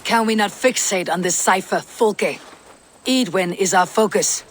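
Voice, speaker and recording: A woman's voice: medium pitch, measured pace, a little hiss or hum, natural voice. An actress playing a level-headed, sceptical Viking warrior woman in a video game, questioning and blunt. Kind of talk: video game cutscene acting. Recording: long hall reverb, music behind.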